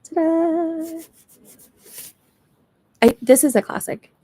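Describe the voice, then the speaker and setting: A woman's voice: very high-pitched, slow pace, dark tone. A woman who is not a native English speaker, chatting casually and thinking aloud during a live reading session at home. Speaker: book streamer. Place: at home, seated in a chair.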